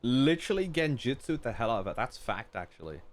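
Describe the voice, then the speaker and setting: A man's voice: medium pitch, very animated, fairly quick. Slangy male game streamer reacting. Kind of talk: casual reaction commentary. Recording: game music behind voice. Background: game music.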